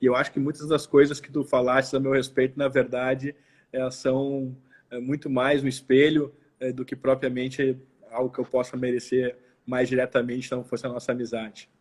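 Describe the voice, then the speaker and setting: A man's voice: mid-range voice, flat delivery, moderate pace, a little mellow. A man in his forties with a southern Brazilian accent from Porto Alegre, speaking casually and reflectively in an interview, often repeating words as he goes. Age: 40s. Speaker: law professor interviewed as guest. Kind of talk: casual interview, recounting own life.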